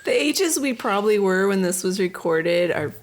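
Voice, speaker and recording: A woman's voice: medium pitch, expressive, normal speed. A woman co-hosting a podcast, chatting casually in a wry, joking way. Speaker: podcast co-host. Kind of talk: casual chat.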